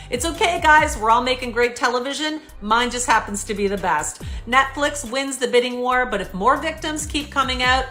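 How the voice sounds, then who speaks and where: A woman's voice: fairly high pitch, pushed and bright. A woman giving celebrity news commentary and reading out posts.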